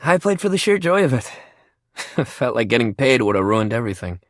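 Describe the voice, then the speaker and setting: Man acting hugely animated friendly jock bro. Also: medium pitch, fairly quick, dry recording, clean studio sound, natural voice. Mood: wistful. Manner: casual bro-like talk, emphatic and expressive. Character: upbeat, friendly, sensitive young male sports jock. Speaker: video game voice actor. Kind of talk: video game character dialogue.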